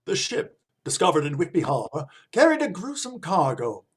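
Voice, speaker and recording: An actor playing a grave newsreader delivering a dramatic bulletin in a 1930s-style radio play. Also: male voice, on the high side, huge pitch swings, moderate pace, husky, full character voice. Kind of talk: 1930s news bulletin acting.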